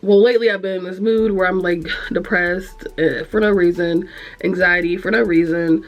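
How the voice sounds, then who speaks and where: A woman's voice: lowish voice, steady tone, normal speed, highs cut off. Congested young woman chatting to camera. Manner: chatty, rambling, frank, easily distracted, cursing. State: congested, nasal from allergies. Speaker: vlogger. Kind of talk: casual chat to camera. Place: spare room at home.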